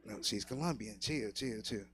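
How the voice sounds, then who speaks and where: A man's voice: lowish voice, moderate pace, fairly bright tone. A man hosting a comedy show on stage, bantering in casual slangy talk.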